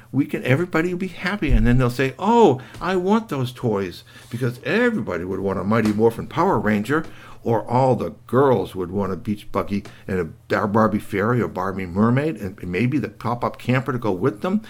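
A man voicing a cheerful, persuasive woman doctor who talks eagerly and gushes on and on. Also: medium pitch. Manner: eager, gushing, chatty. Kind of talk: storytelling.

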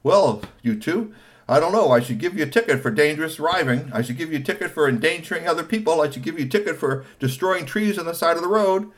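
A man's voice: a little high, lively intonation, fast, pitched above the performer's own voice, lightly acted. A man voicing a stern, bossy male police officer who barks orders. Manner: loud and commanding.

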